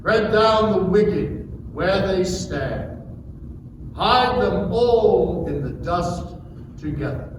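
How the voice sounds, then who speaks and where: A man's voice: high voice, animated, drawn-out words, exaggerated voice. A man playing a stern, majestic God in a dramatic scripture reading, declaiming with command. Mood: stern challenge. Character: stern, wrathful, majestic God speaking from the whirlwind. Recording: echoey hall, distant mic, background noise.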